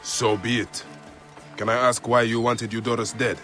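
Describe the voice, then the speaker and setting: An actor playing a blunt, stoic Egyptian warrior in a video game, speaking in a terse, restrained, intense way. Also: male voice, mid-range voice, little pitch movement, normal speed, fairly bright tone, soft background music, close to an everyday voice. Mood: guarded, resolute. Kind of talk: video game cutscene acting.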